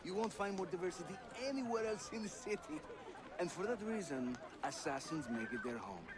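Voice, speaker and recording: A man's voice: sits high, expressive, brisk, noisy background. An actor playing a jovial, wry Ottoman assassin leader in a video game, with a Turkish accent and a theatrical, teasing manner. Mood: genial. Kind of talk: dramatic game cutscene acting. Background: music behind the voice.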